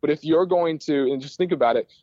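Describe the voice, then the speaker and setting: A man's voice: a little high, brisk, warm tone, no room sound, muffled recording. An American man speaking conversationally as an interview guest, explaining things in an enthusiastic, rambling way. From United States, Michigan. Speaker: guest, aerospace start-up founder.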